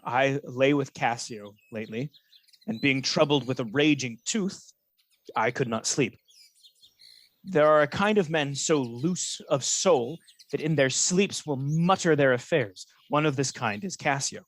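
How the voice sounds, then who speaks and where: A man playing a scheming, falsely honest soldier in a Shakespearean radio drama, speaking in a measured, insinuating manner. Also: medium pitch, natural voice. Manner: insinuating, measured, feigned reluctance.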